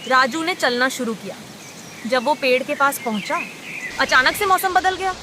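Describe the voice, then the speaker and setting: A woman narrating a cartoon story in a clear, steady storytelling manner. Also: high voice, moderate pace, noisy background.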